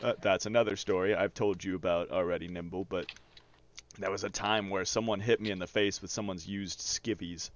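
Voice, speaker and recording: A man's voice: low-pitched, fairly even intonation, a little fast, muffled recording. A man casually telling rambling, profane personal stories as a streamer over gameplay. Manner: rambling, profane, often chuckles while talking.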